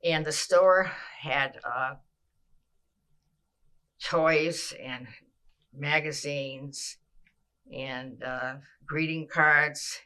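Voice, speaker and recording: An older woman from Massachusetts, recalling her childhood in an oral history interview, with a measured pace and frequent pauses. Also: sits low, fairly even intonation, sharp tone, clean tone.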